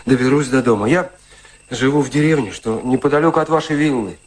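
Actor as a shy, grateful, poor young violinist. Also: male voice, medium pitch, brisk, a little hiss or hum, lightly acted. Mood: bashful. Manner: hesitant, apologetic, self-effacing. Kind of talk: radio play acting.

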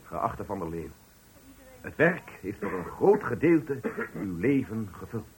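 A man's voice: on the low side, sing-song, a little slow, darkish tone. A man playing a pompous, patronizing company director, speaking with unctuous ceremony in a Dutch radio play. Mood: pompous, ceremonious. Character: pompous, patronizing male company director giving farewell speech. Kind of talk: Dutch radio play, formal speech acting.

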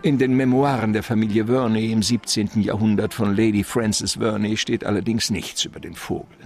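An actor playing an effusive, wily gentleman mastermind in a German crime radio play, lecturing in florid, theatrical phrases. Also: lowish voice, steady tone, a little fast, creaky, faint music behind. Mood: savoring, conspiratorial.

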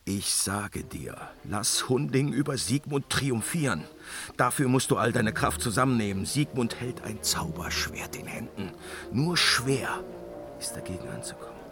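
A man's voice: medium pitch, animated, unhurried, a bit bright. An actor playing a weary, tormented, commanding father god in a fantasy radio drama, solemn and brooding, slipping into whispers. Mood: stern, commanding. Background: dramatic music.

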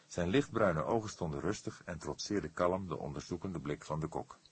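Deep, husky male audiobook narrator. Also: normal speed, no echo. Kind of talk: expressive scripted narration of a detective novel.

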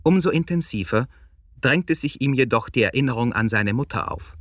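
A man's voice: medium pitch, lively intonation, moderate pace, no echo, recorded from a distance, natural voice. A man narrating a radio drama as a scripted, literary third-person storyteller.

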